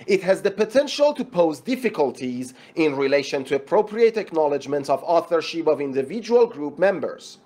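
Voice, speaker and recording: A narrator reading short formal passages aloud for an English exam lesson, with measured, careful enunciation. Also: mid-range voice, darkish tone. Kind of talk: scripted read-aloud of exam practice passages.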